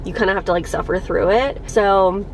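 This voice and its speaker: A woman's voice: medium pitch, fairly quick, slight background noise, poor audio. A young woman vlogger with a cold and a stuffed-up nose, chatting casually to her camera from a parked car, with lots of ums and likes. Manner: chatty, many ums and likes, laughs a little. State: congested from a cold.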